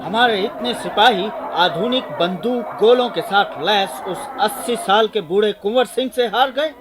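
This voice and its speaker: An exasperated colonial army commander acted by a man in radio drama, his voice high and agitated over clear background noise. He sounds incredulous and fed up. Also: normal speed, crowd noise, close to an everyday voice. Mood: incredulous, angry. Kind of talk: radio play acting.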